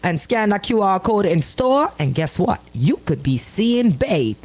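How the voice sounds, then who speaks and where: A woman's voice: medium pitch, big pitch swings, measured pace, darkish tone. A woman with a Bahamian accent hosting a radio show with casual, playful, colloquial banter. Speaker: radio host. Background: music bed at times.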